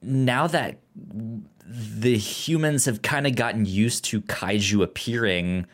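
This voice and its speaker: A man's voice: lowish voice, slow. A man co-hosting a podcast, chatting casually about a movie, often stammering and repeating syllables. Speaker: podcast co-host.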